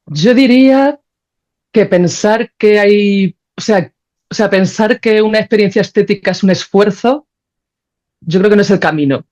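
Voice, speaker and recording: A woman's voice: mid-range voice, moderate pace, darkish tone. A woman answering as an interview guest, reflective and emphatic, rephrasing as she goes, on slightly dull audio. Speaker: podcast guest, audio creator and musician.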